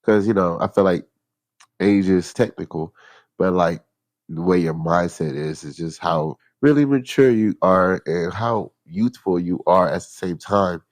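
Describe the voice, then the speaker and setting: A man chats loosely as a podcast co-host, rambling and slangy. His voice sits very low. It sounds dry and close, with no room around it. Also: a little slow, dry recording. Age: 30s. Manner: laid-back, rambling, slangy. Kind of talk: casual chat.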